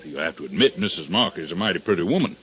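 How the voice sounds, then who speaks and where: A man's voice: low-pitched, animated, very fast, fairly bright tone. An American actor playing a stubborn, sarcastic man in a 1950s radio drama, speaking in a folksy, needling, emphatic way. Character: stubborn, sarcastic man pushing his murder theory. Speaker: supporting actor in a radio detective serial. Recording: muffled 1950s radio broadcast recording.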